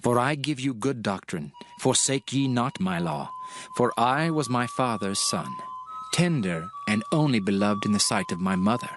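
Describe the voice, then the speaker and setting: An actor playing a solemn, measured father instructing his son in a dramatized scripture reading, with music behind him. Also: medium pitch, lively intonation, background noise, close to an everyday voice. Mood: solemn counsel. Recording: loud music under the voice.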